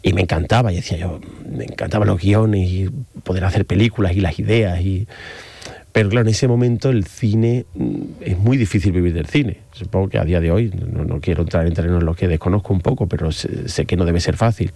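A man's voice: low voice, a little fast, creaky, dark tone, no echo. A middle-aged man from Spain with an Andalusian accent, talking as an interview guest in a rambling, hesitant way. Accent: Andalusian Spanish. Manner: rambling, hesitant, with many fillers and restarts. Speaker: guest, photographer. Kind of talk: casual interview conversation.

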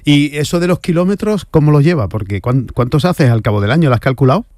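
A man's voice: a little high, expressive, fast, a little mellow, no room sound. A man hosting a radio interview, friendly and conversational, often agreeing with his guest. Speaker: radio host.

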